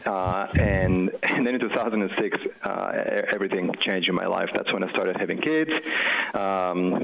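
A man in his forties with an Israeli accent speaks in a fairly low register with plenty of gravel and fry. He tells his story as an interview guest in an animated, conversational way, full of "uh" and "you know" and restarts. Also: highs cut off. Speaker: guest, company chief executive. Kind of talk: casual interview talk.

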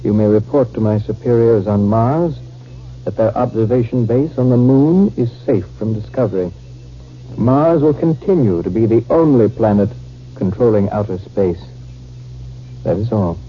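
An actor playing a smooth, duplicitous army captain in a 1950s radio drama. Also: male voice, fairly low pitch, normal speed, lightly acted. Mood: cold, conspiratorial. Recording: muffled, hissy 1950s radio recording.

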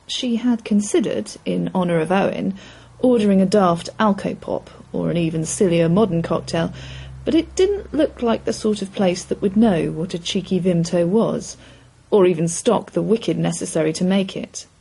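A woman reading literary fiction aloud in a measured storytelling manner. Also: lowish voice, vocal fry, mellow, slightly rough, rough recording. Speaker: story reader. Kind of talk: scripted literary fiction narration.

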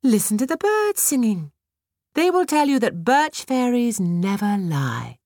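A woman's voice: a little high, sing-song, a little slow, no echo, studio quality, pitched above the performer's own voice, heavily acted. Woman doing a coaxing birch fairy. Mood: gently stern. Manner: soft, coaxing, sometimes whispered. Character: graceful female birch fairy, playful and commanding.

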